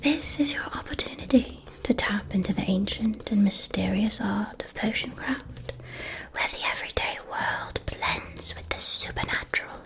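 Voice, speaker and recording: A woman whispering softly and carefully in an ASMR roleplay, playing a gentle, doting witch shopkeeper with storybook phrasing. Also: mid-range voice, normal speed. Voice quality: breathy, unvoiced whisper.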